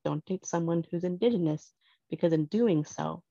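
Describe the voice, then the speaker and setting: A woman talking in an earnest, reflective and measured way in a casual conversation, as a guest parent. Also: fairly low pitch, gravelly, mellow, dry recording. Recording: dull dry lo-fi sound.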